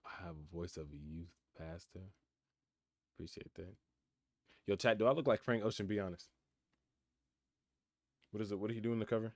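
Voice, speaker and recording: Young man, nineteen, low voice, dry close sound; relaxed streamer musing on chat questions. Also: normal speed, long pauses, no echo. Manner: laid-back, reflective, reads chat questions aloud and answers. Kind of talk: casual live-stream chat. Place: his room in college housing. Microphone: computer mic.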